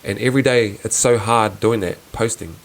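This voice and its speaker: A young man with a soft, fairly low voice talks through his thoughts on his own podcast. He sounds earnest and reflective, often restarting sentences and pausing on fillers as he works out what he means. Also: poor audio. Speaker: podcast host. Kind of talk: casual reflective solo podcast.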